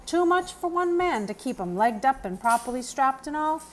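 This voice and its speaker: A woman performs a gruff, kindly old countryman from a children's storybook. His talk is plain and rustic, pitched high and swinging widely in melody. Faint noise sits behind the voice. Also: animated, moderate pace. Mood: friendly, instructive. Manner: plainspoken, rustic turns of phrase. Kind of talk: children's novel read aloud, character voice.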